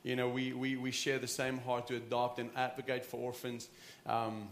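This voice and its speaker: A man's voice: mid-range voice, completely flat delivery, normal speed, a little hiss or hum. South African man preaching in an echoey church. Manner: earnest, conversational, frequent restarts. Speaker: pastor. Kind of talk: sermon. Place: church sanctuary.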